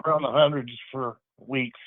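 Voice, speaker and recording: A man's voice: medium pitch, fairly even intonation, moderate pace, no room sound. A man calling into a radio show, reminiscing in a rambling, easygoing way. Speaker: caller. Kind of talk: phone-in chat. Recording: tinny phone line.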